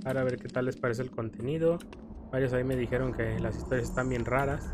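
A man's voice: mid-range voice, fairly even intonation, quick pace, noisy background. Mexican man rambling over a video game. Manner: rambling, slangy, playfully profane. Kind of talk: casual gameplay commentary. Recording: game music behind the voice. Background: video game music.

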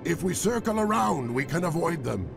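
An actor playing a gruff, battle-eager warrior lieutenant in a video game cutscene, blunt and wary. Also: male voice, on the high side, big pitch swings, normal speed. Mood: urgent. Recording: music behind the voice.

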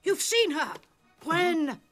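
High-pitched, haughty British matron. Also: female voice, expressive, slow, fairly bright tone, soft background music, exaggerated voice. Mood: scornful. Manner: clipped, formal, disdainful. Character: stern, haughty older woman education official. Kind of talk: animated cartoon acting.

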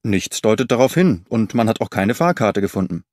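A man's voice: on the low side, lively intonation, fairly quick, darkish tone, no room sound, studio quality, lightly acted. A man voicing a friendly old railway official in an audiobook, courteous and explanatory. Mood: helpful, factual. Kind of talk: audiobook character reading.

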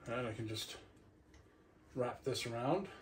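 Male, middle-aged, mid-pitched voice, casual product reviewer thinking aloud as he works; some background noise and clicks. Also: lively intonation, a little slow. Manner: talks to himself while handling gear. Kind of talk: casual unboxing and hands-on review. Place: indoor living room.

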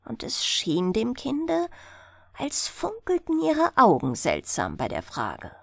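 A woman narrating a bedtime fairy tale in an expressive storytelling style, as an audiobook reader. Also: a little high, slow, creaky, no echo, studio quality.

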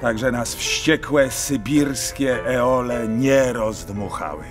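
Very slow, wry returned exile played by a man. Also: mid-range voice, over music, lightly acted. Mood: wry, measured. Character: Polish former exile recounting Siberian hardship, wry. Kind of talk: theatrical verse radio drama.

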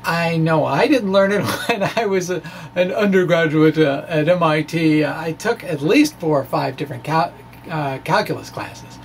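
A middle-aged man gives an informal explanatory physics talk in a chatty, halting way, with frequent asides. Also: a little high, lively intonation. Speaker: science blogger presenting a physics lecture. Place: small indoor room with bookshelves. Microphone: handheld-style microphone on a stand in front of him. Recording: clear background noise, room ambience.

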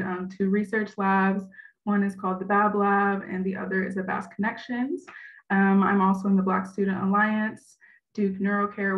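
A young woman college student from Florida introducing herself on a video call, listing her activities. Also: mid-range voice. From Florida, USA. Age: young adult. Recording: tinny phone-like video call audio.

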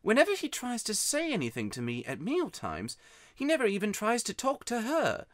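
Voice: mid-range voice, huge pitch swings, moderate pace, a bit bright, dry recording, studio quality, pitched above the performer's own voice. A narrator voicing an aggrieved, wound-up young boy with emphatic schoolboy talk, in a children's audiobook. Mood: secretive, uneasy. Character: miserable, wound-up, grubby young boy from the country.

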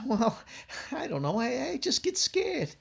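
A man's voice: very high-pitched, huge pitch swings, unhurried, vocal fry, fairly bright tone, husky, heavily acted. An actor playing a blustering, easily flustered family man in old radio comedy style, stammering and exclaiming. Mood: shy, flustered. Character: blustering, bashful, flustered husband and father, comic everyman. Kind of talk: 1940s-50s radio sitcom acting. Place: indoors at home on a video call.

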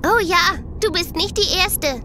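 Very high, bright little girl. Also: unhurried, slight background noise, heavily acted. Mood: secretive. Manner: simple, childlike, secretive answers. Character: innocent, friendly, mysterious little girl of about eleven. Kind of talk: German audio drama acting.

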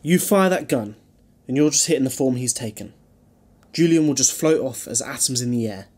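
A man playing a flippant, protective alien time traveller in a fan audio drama, with a husky voice and wry, bantering delivery. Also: mid-range voice, lively intonation, normal speed, a little mellow. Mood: stern, defiant.

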